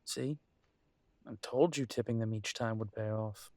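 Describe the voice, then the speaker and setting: Man acting as werewolf detective, low voice, unhurried, wry, grumbling, affectionate, intimate audio roleplay. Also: a little mellow, dry recording, close to an everyday voice. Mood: teasing, fond. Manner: wry, grumbling, fondly teasing. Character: gruff, affectionate male werewolf detective, grumbling city dweller.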